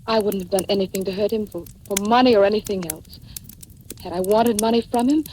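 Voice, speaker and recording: An actress playing an earnest, anxious estranged wife, hesitant and sincere, in old-time radio melodrama style. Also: female voice, mid-range voice, a little fast, warm tone, lightly acted. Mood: pained, earnest. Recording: noisy old radio drama recording.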